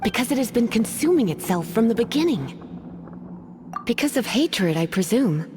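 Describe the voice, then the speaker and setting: Lilting, knowing sorceress. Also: female voice, fairly high pitch, sing-song, normal speed, noisy background. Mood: grave, solemn. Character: composed, knowing adult sorceress guide, languid and teasing. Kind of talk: video game character acting. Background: game music.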